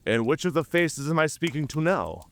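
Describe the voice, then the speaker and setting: Proud, animated werewolf outcast. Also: male voice, on the high side, moderate pace. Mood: probing, blunt. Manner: theatrical, emphatic, inquisitive. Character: proud, curious male werewolf outcast, devoted to pack tradition. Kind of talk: cinematic audio roleplay acting.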